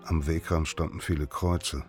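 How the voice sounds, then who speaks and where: Very low-voiced grave gentleman traveller, solemn diary narration for radio drama, music underneath. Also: level tone, normal speed. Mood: grave, measured. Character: Jonathan Harker, grave male traveller reading his diary. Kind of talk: literary audiobook reading, radio drama.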